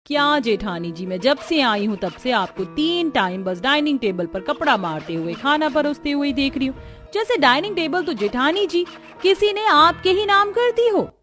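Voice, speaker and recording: A young daughter-in-law from an animated household drama acted by a woman. Her voice is fairly high, earnest and expressive, dutiful yet quick to show feeling. There is faint music behind her. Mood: indignant. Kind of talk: Hindi cartoon story acting.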